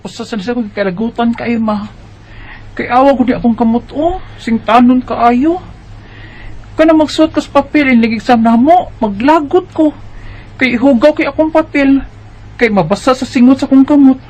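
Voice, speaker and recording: A woman's voice: fairly high pitch, moderate pace, a little mellow, natural voice, low-quality recording. A woman with a Cebuano Bisaya accent playing a tired, worried teenage schoolgirl who complains and questions in a radio drama. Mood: weary, whiny. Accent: Cebuano Bisaya Filipino.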